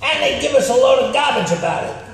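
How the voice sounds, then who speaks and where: A man playing a giant cockroach grand vizier, talking in crude, slangy wise-guy style with a gravelly voice in a comic radio play. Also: extremely high pitch, expressive, quick pace, full character voice. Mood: blunt, sarcastic. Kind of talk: comic radio theatre acting. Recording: echoey hall from afar, faint noise.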